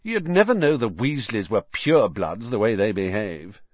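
A spiteful, drawling schoolboy in his early teens, as a man puts him on for an audiobook, pitched up from the reader's low voice and swinging lively through his sneers. The recording is dry and a little dull. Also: normal speed, dry recording. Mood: sneering. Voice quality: drawling, scornful. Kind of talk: audiobook character voice.

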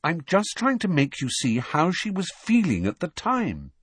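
A patient, brainy teenage girl, as a male reader plays her, lifted in pitch with lively swings. She explains everything carefully, a little exasperated, in a storybook voice with a breathy edge. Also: unhurried, no echo, exaggerated voice.

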